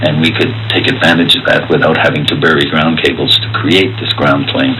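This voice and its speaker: Deep, bright-voiced man explaining. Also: flat delivery, fairly quick, slight background noise, rough recording. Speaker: former shortwave site worker. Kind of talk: explanatory interview talk.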